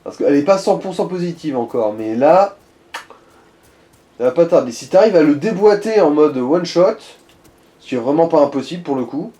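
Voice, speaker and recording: A man's voice: fairly high pitch, expressive, normal speed, warm tone. A man giving casual, slangy Let's Play commentary and coaching a player, with some swearing. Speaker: gaming co-host guiding a player.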